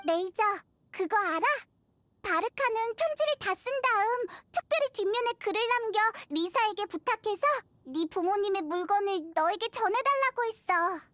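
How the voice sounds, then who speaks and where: A woman's voice: very high-pitched, animated, moderate pace, fairly bright tone, dry recording. A woman voicing a tiny, childlike fairy companion in an anime game, inquisitive and full of exclamations. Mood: earnest, encouraging. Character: tiny cheerful floating fairy girl companion.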